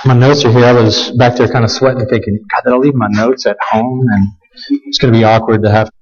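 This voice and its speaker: A man's voice: medium pitch, little pitch movement, fast, lo-fi sound, a crowd in the background. A man, preaching as a guest at a church, talking casually and humbly with restarts. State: scratchy voice with a cough. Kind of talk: sermon. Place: church sanctuary.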